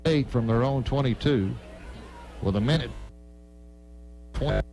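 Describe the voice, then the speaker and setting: A man's voice: medium pitch, unhurried, a little mellow. Man calling football play-by-play over music. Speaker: play-by-play commentator. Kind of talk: live radio football commentary. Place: outdoor high school football stadium broadcast.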